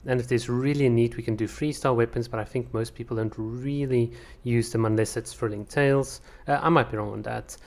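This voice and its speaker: A man's voice: medium pitch, fairly even intonation, a little fast, hardly any pauses, darkish tone. Casting note: male channel host, non-native English speaker, easygoing and chatty, answers viewer comments with frequent restarts. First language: not English. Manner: chatty, many fillers and restarts. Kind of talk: casual comment-reading chat.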